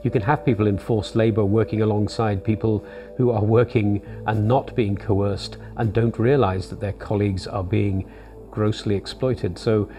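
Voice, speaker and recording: An older man in his sixties with a slightly low voice explains things in a thoughtful, measured way. He sits for a studio interview with soft music underneath. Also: steady tone. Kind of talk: documentary interview. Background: soft background music.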